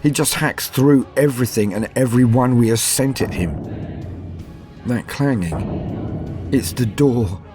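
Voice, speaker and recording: A man playing an arrogant villainous officer in a dramatic first-person horror tale, emphatic and theatrical with weighty pauses. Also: mid-range voice, warm tone, soft background music, voice pushed up, lightly acted. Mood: dread, resignation. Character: arrogant male villain officer sinking into dread.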